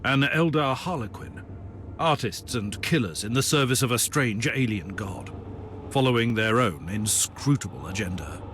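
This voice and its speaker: A man's voice: medium pitch, animated, moderate pace, fairly bright tone, a little hiss or hum, lightly acted. A man playing a stern, ruthless human inquisitor in a video game, with a blunt, authoritative delivery. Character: stern, commanding male human Inquisitor psyker, ruthless investigator. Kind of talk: dramatic video game acting.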